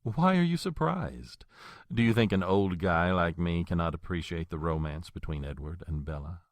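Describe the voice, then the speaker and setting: An actor playing an old, courtly and menacing male vampire in an audio drama, speaking with a suave, husky voice. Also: deep voice, moderate pace, no echo. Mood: silky. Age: older. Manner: suave, flattering, then threatening.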